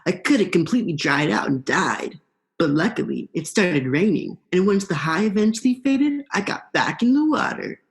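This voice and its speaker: A woman's voice: on the low side, lively intonation, moderate pace, natural voice. A young woman in a student radio play, playing a laid-back, vengeful male eel with a casual, slangy drawl. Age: young adult.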